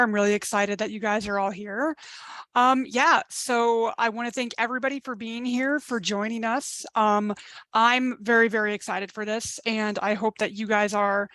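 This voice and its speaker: A woman's voice: mid-range voice, little pitch movement, normal speed, a bit bright, no echo. A middle-aged woman dating coach giving a live webinar masterclass, talking in a chatty, emphatic way and acting out her listeners' complaints.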